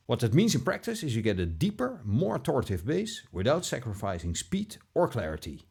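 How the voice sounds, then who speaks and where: Guy doing a polished scripted review voiceover, kinda husky, pitch moves around a lot. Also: darkish tone. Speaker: product reviewer, video host.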